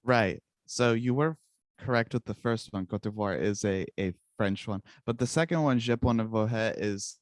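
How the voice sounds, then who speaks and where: A man's voice: fairly low pitch, normal speed, no echo. A young man whose first language is Czech, chatting casually as a guest musician, rambling and joking at his own expense. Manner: rambling, self-mocking, laughs often. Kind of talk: casual interview chat.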